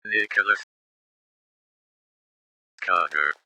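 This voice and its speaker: Man voicing a slow, deep, ominous otherworldly being. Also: sharp tone, slight background noise, heavily acted. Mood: calm, ominous. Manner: slow, deliberate, ominous speech. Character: mysterious male time-traveling being, calm and menacing. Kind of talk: analog horror acting.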